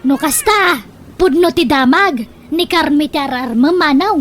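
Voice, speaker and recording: A woman's voice: sits high, very animated, unhurried, fairly bright tone, a faint crowd, slight background noise, full character voice. A woman acting an outspoken, teasing young woman in a radio comedy drama. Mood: nagging, probing.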